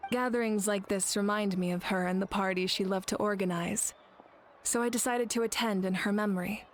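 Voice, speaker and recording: An actress voicing a composed, compassionate female ruler with a measured, formal way of speaking in an anime game. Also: medium pitch, steady tone, soft background music, natural voice. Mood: solemn.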